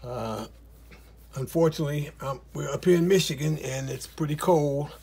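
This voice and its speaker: Husky middle-aged guy w a bit of a cold, rambling through a painting lesson, lots of uhs. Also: a little high, moderate pace, a little mellow. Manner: rambling, many fillers and restarts. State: slightly hoarse from a cold. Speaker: art teacher. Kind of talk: relaxed painting tutorial. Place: studio, in front of an easel.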